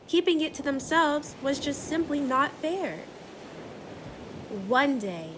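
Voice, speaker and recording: A woman reading a children's book aloud as expressive, rhythmic, dramatic storybook narration. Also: fairly high pitch. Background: music.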